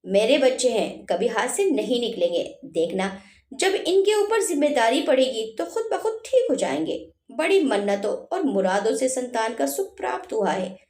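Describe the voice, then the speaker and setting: A woman's voice: fairly high pitch, big pitch swings, normal speed, a little mellow, echoey room. A woman voicing a doting but firm father, a village headman, in a told story. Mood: indulgent.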